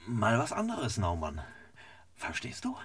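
A man playing an unflappable, superior master detective in a radio crime parody, dry and matter-of-fact with a raspy voice. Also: fairly low pitch, wildly swooping pitch, measured pace, a bit bright, heavily acted. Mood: dry, musing.

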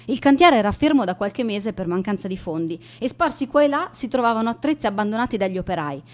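An actress playing a guilt-ridden, bullied teenage schoolgirl in a radio drama monologue, confessing in a halting, emotional manner. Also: medium pitch, expressive, close to an everyday voice. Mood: tense, bitter confession.

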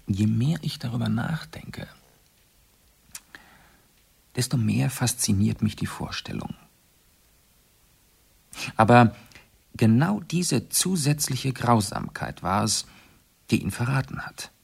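An actor playing an urbane, self-assured aristocratic amateur sleuth in a German radio play, explaining precisely and theatrically. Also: male voice, medium pitch, lively intonation, takes it slowly, creaky, pauses often, breathy edge, full character voice. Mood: savouring, dramatic.

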